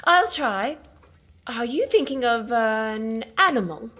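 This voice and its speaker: Lively young wife in a radio play, fond n coaxing, high husky voice. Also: female voice, slow, heavily acted. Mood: playful. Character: spirited, affectionate young wife hosting a party.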